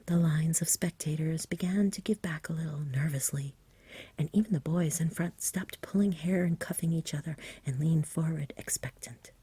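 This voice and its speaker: A woman reading a bedtime story for ASMR, soft and whispery, gentle and soothing, close to the microphone. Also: deep voice, moderate pace, no echo. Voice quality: soft-spoken, whispery, breathy.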